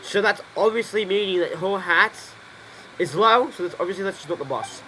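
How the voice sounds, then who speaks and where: A man's voice: sits high, fairly quick, bright, forceful tone, a little hiss or hum, rough recording. A man streaming a game, rambling through casual commentary, repeating himself and swearing freely. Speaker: gameplay streamer.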